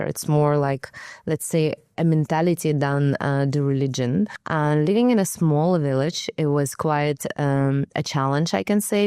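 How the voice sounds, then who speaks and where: A woman's voice: low-pitched, mellow, clear, resonant tone, no room sound, clean studio sound. A woman from Kazakhstan whose first language is Russian, speaking as an interview guest in a hesitant way, with many fillers as she searches for words. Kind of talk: conversational interview.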